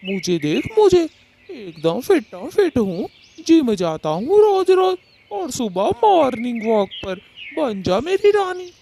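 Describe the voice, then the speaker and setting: A woman acts out a vain, lecherous male crow in a cartoon tale. The voice is mellow and gravelly, drawling slowly with animated swoops. He swaggers and taunts. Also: high-pitched, a little hiss or hum, exaggerated voice. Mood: boastful. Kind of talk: Hindi cartoon moral story dialogue.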